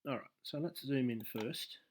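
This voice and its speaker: This is a man hosting a game development tutorial at his desk, speaking at an ordinary mid pitch. He keeps stopping to restart sentences, fills the gaps with ums and lets his lines trail off quietly. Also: normal speed. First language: English. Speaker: game dev tutorial host. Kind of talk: screen-share coding tutorial.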